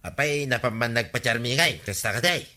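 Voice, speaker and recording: Sharp, bright-voiced aggrieved village man. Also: mid-range voice, lively intonation, fairly quick. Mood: gossipy. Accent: Ilocano. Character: aggrieved, gossipy village man demanding his lost things back. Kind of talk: Ilocano radio comedy drama acting.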